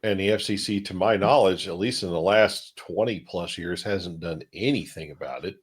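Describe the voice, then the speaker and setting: A man's voice: low voice, moderate pace, breathy edge. A man co-hosting a tech panel show, talking through technical points casually in a rambling, hedging way.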